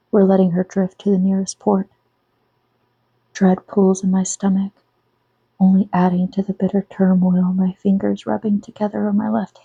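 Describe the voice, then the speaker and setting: A woman reads her own novel in a hushed, intimate ASMR voice, drifting often into whispers. Her tone is very dark and husky with almost no rise and fall. The sound is dry, close and slightly muffled. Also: medium pitch, no echo. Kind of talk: soft-spoken ASMR book reading.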